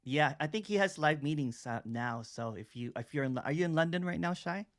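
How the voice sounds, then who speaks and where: A man hosting a casual talk on nonduality over a video call, relaxed and halting, with many "uh"s. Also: a little high. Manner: relaxed, halting with "uh", laughs easily. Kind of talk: casual chat.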